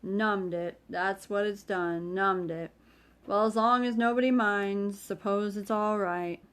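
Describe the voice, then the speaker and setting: A woman voicing a gloomy, complaining old grey donkey who talks slowly and mournfully. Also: mid-range voice, fairly even intonation, a bit bright, clear, resonant tone. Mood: gloomy grumbling. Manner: slow, mournful grumbling.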